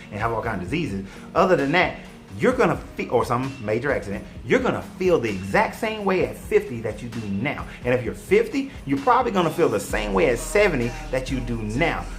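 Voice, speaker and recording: A man in his forties giving an emphatic, preachy motivational monologue, talking straight to his viewers. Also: mid-range voice. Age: 40s. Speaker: online commentator and life coach.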